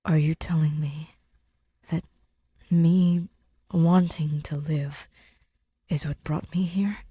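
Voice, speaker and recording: Woman as haunted man, weary, stony, halting, breathy, dark tone, audiobook drama. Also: deep voice, steady tone, no echo, voice pushed down, heavily acted. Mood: stunned disbelief. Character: weary, haunted male survivor, stony and bewildered.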